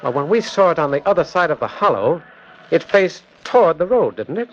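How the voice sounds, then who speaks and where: An actor playing a confident, reassuring criminologist sleuth in a calm, theatrical 1940s radio style. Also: male voice, on the high side, a little fast. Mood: intrigued. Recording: muffled, noisy 1940s radio recording.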